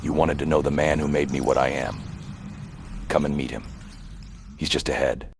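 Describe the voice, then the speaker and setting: An actor voicing a menacing, ruthless vigilante in a comic dub, with a gravelly growl and a terse, commanding manner. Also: male voice, rumbling low, level tone, moderate pace, heavy background noise. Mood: cold menace. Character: menacing, ruthless vigilante Batman, grown man. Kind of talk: motion comic dub acting. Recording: loud dramatic music behind. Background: dramatic music.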